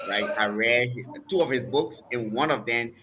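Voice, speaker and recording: A man's voice: mid-range voice, normal speed, noisy background. A middle-aged man with a Liberian accent hosting an interview, chatty and conversational. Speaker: host, preacher and neurosurgeon.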